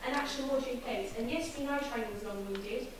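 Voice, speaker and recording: Fast, indignant young woman in echoing hall. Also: a little high. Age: 20s. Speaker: audience member raising a complaint. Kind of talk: impassioned public-meeting statement. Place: large public meeting hall. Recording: very echoey hall, far from mic, noisy.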